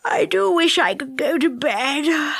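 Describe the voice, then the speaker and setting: Woman putting on a drowsy, high-voiced moon-faced fellow. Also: expressive, slow pace, gravelly, fairly bright tone, no echo, studio quality, heavily acted. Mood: sleepy. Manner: yawning, languid.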